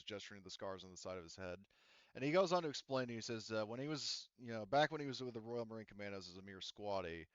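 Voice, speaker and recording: A man's voice: lowish voice, smooth, no echo, highs cut off. A man running a tabletop roleplaying game as game master, talking casually with many fillers. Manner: casual, lots of fillers and restarts.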